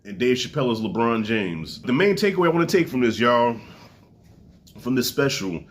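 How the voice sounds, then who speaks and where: A congested man in his forties talks straight to camera, his voice thick and stuffy. He speaks loosely and profanely, with sudden high swings in pitch. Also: sing-song, moderate pace. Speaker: comedy special reviewer. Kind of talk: casual spoken review to camera.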